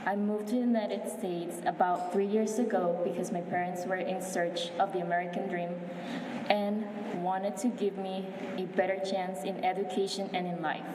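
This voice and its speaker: Young woman reading a speech, echoey hall. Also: medium pitch. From Honduras. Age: young adult. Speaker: student speaker. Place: large city hall chamber. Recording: echoey hall from far off, noisy.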